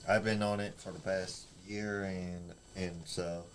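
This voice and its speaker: Quiet deep-voiced man, off-mic, crowd behind. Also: little pitch movement, a little slow. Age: 40s. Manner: soft-spoken, shy, quiet asides.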